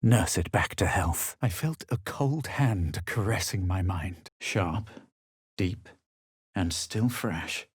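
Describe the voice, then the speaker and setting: An actor playing a self-assured, haughty fantasy adventurer in a video game, husky and dramatic in delivery. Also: male voice, low voice, no echo, studio quality, full character voice. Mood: grave, measured. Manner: measured, dramatic, superior. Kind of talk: scripted game character lines, first-person narration and spell incantations.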